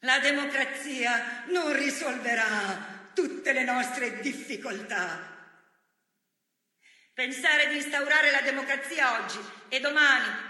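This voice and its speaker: A very high, piercingly bright voice of a resolute woman political leader. She speaks slowly and earnestly, like an orator, in a deeply echoing hall. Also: exaggerated voice. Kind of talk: theatrical political speech monologue. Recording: very echoey hall, long reverb.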